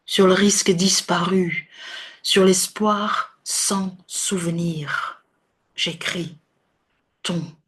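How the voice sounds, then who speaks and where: Woman, low-pitched breathy voice, extremely slow, solemn poetry recitation, hushed phrase endings. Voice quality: breathy, often falling to a near whisper. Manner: measured, deliberate, with short phrases and long pauses.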